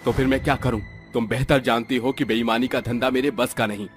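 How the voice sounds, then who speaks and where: A man's voice: medium pitch, fairly even intonation, fairly quick, close to an everyday voice. A man acts a simple village cowherd from a folk tale. His mid-range voice is earnest and hesitant, with faint music behind it. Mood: reproachful, doubtful. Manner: earnest, reasoning.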